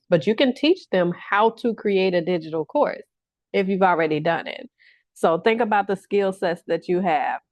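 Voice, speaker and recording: A woman's voice: on the low side, darkish tone, no echo. A middle-aged woman business coach giving a workshop-style talk to viewers, encouraging and repeating phrases for emphasis. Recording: dull lo-fi, dry sound.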